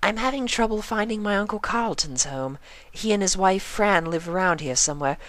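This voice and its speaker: A woman's voice: mid-range voice, expressive, moderate pace, fairly bright tone, no room sound, voice pushed up, natural voice. Lady playing a proper, anxious young unicorn girl, cartoon style, raised voice, hesitant. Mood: nervous, polite. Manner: genteel, hesitant. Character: polite, anxious, refined young unicorn mare.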